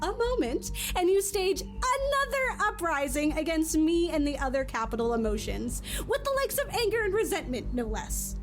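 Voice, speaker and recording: A woman's voice: extremely high pitch, very animated, normal speed, few pauses, soft background music. A woman playing a lofty, aggrieved emotion in an audio drama, prim and formal in her flowery phrasing. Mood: affronted.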